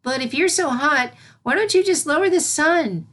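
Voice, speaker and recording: A woman's voice: sits high, animated, moderate pace, a bit bright, pitched above the performer's own voice. A woman voicing an eager, polite little unicorn filly in a storybook reading, with a childlike, inquisitive manner. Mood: curious, puzzled.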